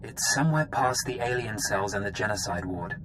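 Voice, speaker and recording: An actor playing a grave intelligence official giving a measured, serious briefing in a video game. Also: male voice, fairly low pitch, animated, close to an everyday voice. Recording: clear background noise.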